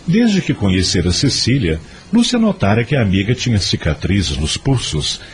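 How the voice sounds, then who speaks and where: A man's voice: on the low side, sing-song, normal speed. A man with a Brazilian accent narrating a scripted audio drama as a storyteller. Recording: music behind the voice.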